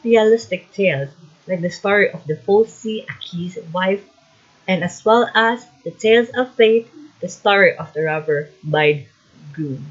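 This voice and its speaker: A woman's voice: mid-range voice, unhurried. A woman student presenter reads out a scripted lesson on a slightly dull recording.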